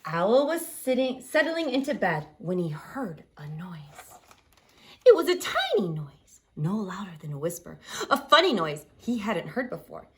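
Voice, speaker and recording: A woman hosting a storytime for young children, singing along and reading aloud in an animated, encouraging way. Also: medium pitch, unhurried, a little hiss or hum. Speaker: library storytime host. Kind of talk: children's sing-along and picture-book read-aloud.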